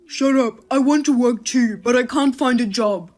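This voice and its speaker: A woman voicing an irritable, jobless husband who blames and snaps loudly. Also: on the high side, normal speed, soft background music, close to an everyday voice. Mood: angry. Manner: loud, blaming and rude.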